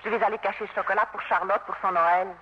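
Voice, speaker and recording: An actress playing a gentle, hospitable young French woman with halting, polite English and a French accent, in 1950s radio drama. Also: medium pitch, fairly even intonation, close to an everyday voice. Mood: tired, soft. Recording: noisy, dull 1950s radio recording.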